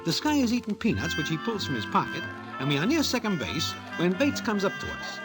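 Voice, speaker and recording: An actor playing a wry small-time gambler from Broadway in an old-time radio drama, speaking stilted formal slang. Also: male voice, sits high, huge pitch swings, a little fast, music in the background, heavily acted. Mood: wry storytelling.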